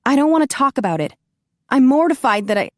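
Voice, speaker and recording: A fiery young woman, as a female narrator puts her on, all heated and exasperated. Her voice rides noticeably higher and louder than the narration, and the sound is close and dry. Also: animated, moderate pace, no echo, lightly acted. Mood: angry.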